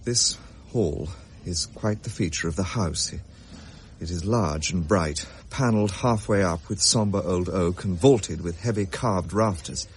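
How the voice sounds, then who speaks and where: An actor playing an English gentleman who recounts a ghostly tale in a measured, old-fashioned audio drama style. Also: deep voice, slight background noise. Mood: genial, reminiscing. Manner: measured, genteel, grave.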